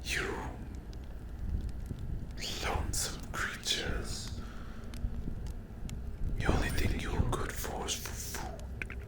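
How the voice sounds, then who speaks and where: A performer voicing a menacing, sadistic demon in a breathy, gravelly whisper, taunting theatrically in a dark fantasy ASMR roleplay. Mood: threatening, contemptuous. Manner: slow, drawn-out, taunting, theatrical. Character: menacing sadistic demon guarding its unholy lair.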